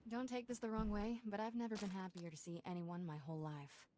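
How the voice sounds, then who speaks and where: An actress playing a spirited, wry young Jedi apprentice in a video game. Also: female voice, medium pitch, fairly even intonation, a little fast, dark tone, slight background noise, natural voice. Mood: relieved.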